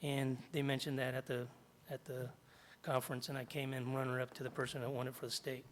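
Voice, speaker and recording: A middle-aged man, slightly hoarse after jaw surgery, reading prepared remarks at a podium in a large meeting hall and stopping often to clear his throat. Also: medium pitch, flat delivery, fairly quick, a little hiss or hum. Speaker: union chapter president. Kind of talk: public comment at a board meeting. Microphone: podium microphone.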